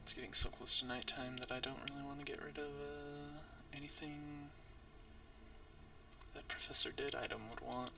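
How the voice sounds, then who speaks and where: A man who is losing his voice from illness, giving casual let's-play commentary in a hoarse, mumbling, often half-whispered way. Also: medium pitch, little pitch movement, normal speed, distant mic, muffled recording, noisy background. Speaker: streamer playing a game.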